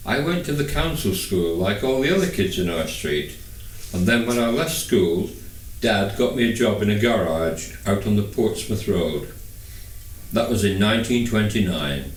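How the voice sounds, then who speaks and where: A man reading a first-person novel aloud as scripted narration. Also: lowish voice. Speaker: audiobook reader. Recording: echoey room, distant microphone.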